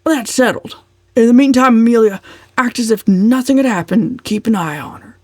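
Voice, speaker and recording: A woman's voice: a little high, normal speed, a little mellow, no echo, studio quality, voice pushed up, lightly acted. A woman voicing a bossy, irritable old uncle who growls and snaps bluntly in an audiobook.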